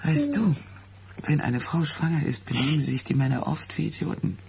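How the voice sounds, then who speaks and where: An actress playing a worldly, soothing middle-aged friend in a German radio drama, coaxing and knowing. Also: female voice, low-pitched, lively intonation, moderate pace, creaky, background noise.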